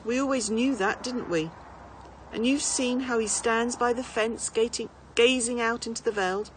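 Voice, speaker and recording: A gentle mother, put on by a woman reading a storybook, speaks in a raised pitch, coaxing and consoling. Her reasoning is soft-hearted, with noise in the recording. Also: moderate pace, close to an everyday voice, low-quality recording. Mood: consoling, anxious.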